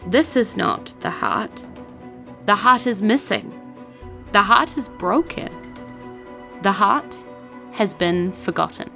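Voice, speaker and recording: Creaky-voiced woman narrating dark fantasy. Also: mid-range voice. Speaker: game master narrator. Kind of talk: dramatic scripted fantasy narration.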